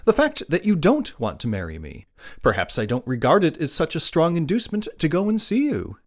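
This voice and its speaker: An urbane, dryly teasing gentleman, widely swooping intonation. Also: fairly high pitch, huge pitch swings, brisk. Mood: amused, daring. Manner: dry, wry, polite. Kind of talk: dramatized audiobook acting.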